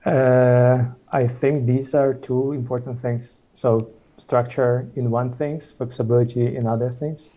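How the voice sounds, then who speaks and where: A man's voice: mid-range voice, little pitch movement, measured pace, few pauses, dark tone. A man in his thirties from Ukraine, speaking as a guest on a video call in a hesitant, casual way with many fillers and restarts. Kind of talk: casual webinar conversation.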